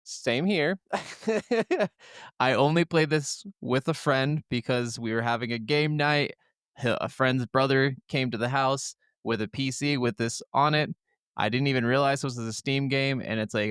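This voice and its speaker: A man's voice: mid-range voice, unhurried, a bit bright, clean tone, dry recording. Guy cohosting a podcast, sweary and jokey, casual chat, really dry close sound. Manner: crude, jokey, profane. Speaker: podcast co-host.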